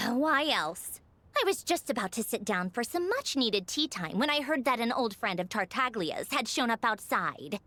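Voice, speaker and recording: A woman's voice: a little high, sing-song, normal speed, pushed and bright, heavily acted. A woman playing a haughty, refined noblewoman in a video game, dryly gracious with a teasing manner. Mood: haughty, put-upon. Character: haughty young noblewoman villain-turned-ally, dryly gracious.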